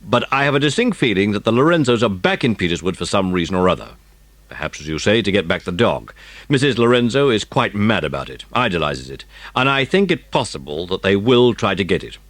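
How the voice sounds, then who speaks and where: A man playing a genial, confiding British police chief with dry amusement, measured and authoritative, in an audiobook drama. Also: lowish voice, sing-song, a bit bright, no room sound.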